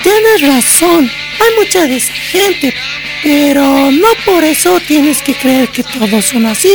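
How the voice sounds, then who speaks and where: An actress playing a caring, optimistic friend in a radio drama, earnest and consoling. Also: female voice, sits high, lively intonation, takes it slowly, over music, full character voice, low-quality recording. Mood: reassuring, earnest.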